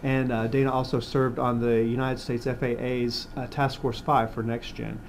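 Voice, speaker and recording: A man's voice: medium pitch, level tone, normal speed, slight background noise. A man hosting a scripted corporate interview. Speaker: host.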